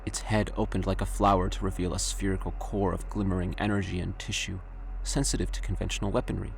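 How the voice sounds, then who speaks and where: A man's voice: sits low, little pitch movement, quick pace, creaky, slight background noise. A man giving scripted dramatic narration for an audio drama.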